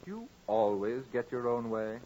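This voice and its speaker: A man's voice: medium pitch, big pitch swings, measured pace, dark tone, muffled recording. An actor in a 1940s-style radio drama playing a nervous, evasive gambler courting a young woman, hesitant and stammering. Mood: tender, wary. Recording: noisy old radio recording.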